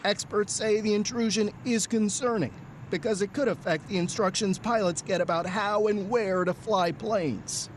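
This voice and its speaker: A woman's voice: medium pitch, moderate pace, a little hiss or hum. A woman news correspondent narrating a scripted television report.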